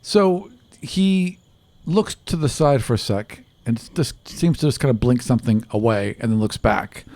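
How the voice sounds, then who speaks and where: A man's voice: mid-range voice, expressive, moderate pace, mellow, dry recording. A man improvising narration as game master of a tabletop roleplaying game.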